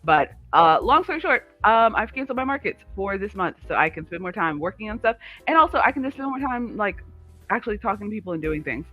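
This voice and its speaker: A man's voice: high-pitched, big pitch swings, fast, highs cut off, soft background music. A man co-hosting a livestream, chatting casually in a rambling, digressive way. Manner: chatty, digressive, talks to live viewers. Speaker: livestream co-host.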